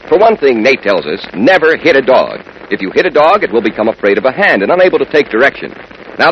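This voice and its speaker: A man reading a scripted 1940s radio segment as announcer, in a chummy way aimed at youngsters. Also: medium pitch. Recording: muffled old radio broadcast, faint hiss.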